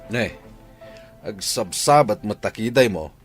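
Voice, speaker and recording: A man's voice: lowish voice, expressive, a little slow, breathy edge, no room sound, faint music behind. A man playing a weary, reproachful husband and father in a radio drama, with an Ilocano accent.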